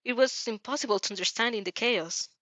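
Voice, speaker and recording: A woman voicing a shy, soft-spoken schoolgirl who is anxious and evasive, in fan fiction dialogue read aloud. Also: on the high side, quick pace, dry recording, lightly acted. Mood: flustered. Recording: slightly dull, dry recording.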